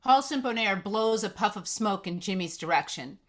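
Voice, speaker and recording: Woman narrating a screenplay, medium pitch. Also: lively intonation, normal speed, fairly bright tone. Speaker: stage-direction reader. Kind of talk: scripted narration, screenplay table read.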